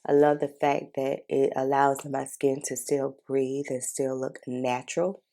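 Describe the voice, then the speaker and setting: A congested woman talks viewers through her makeup in a casual, chatty way. Her voice sits low and carries a gravelly fry, with a dark, mellow tone. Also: flat delivery, unhurried. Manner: chatty, informal, addresses viewers as y'all. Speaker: beauty vlogger. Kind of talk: casual makeup tutorial chat.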